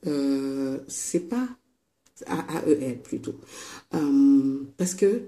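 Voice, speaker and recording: A woman's voice: very deep voice, expressive, mellow. Congested woman chatting, echoey room. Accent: Senegalese French. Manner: chatty, hesitant with many pauses. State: congested with a cold. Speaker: vlogger. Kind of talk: casual vlog talk to viewers.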